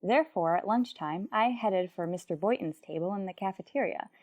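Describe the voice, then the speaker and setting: A woman acts out a quick-witted schoolteacher who both narrates and stars in an old-time radio comedy. She is arch and polite, with a mid-range voice in a dry, close recording. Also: mid-range voice, no room sound, dull audio, natural voice. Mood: wry narration. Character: wry, quick-witted female high school teacher. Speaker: lead actress.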